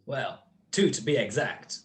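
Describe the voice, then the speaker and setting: A man's voice: medium pitch, lively intonation, a little slow, a bit bright. A man acting a smug, cold-blooded criminal mastermind in a radio play, with precise, mocking, grandiloquent speech. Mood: sly, smooth.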